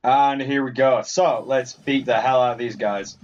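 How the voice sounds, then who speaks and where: A man's voice: mid-range voice, fairly even intonation, normal speed, a little mellow. Rambling man on a muffled mic. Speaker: gaming video host. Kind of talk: casual let's play commentary.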